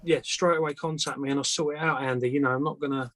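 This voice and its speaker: A man's voice: medium pitch, fairly even intonation, fast talker, fairly bright tone, no echo. A man who makes antennas, answering questions casually as a guest in a plain-spoken, hesitant way. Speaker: antenna maker, guest speaker.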